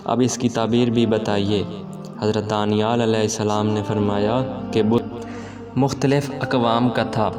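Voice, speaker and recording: Male narrator for religious moral tales: low voice, formal and measured delivery, very level intonation. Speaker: storyteller, narrator. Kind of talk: scripted religious story narration in Urdu storytelling manner.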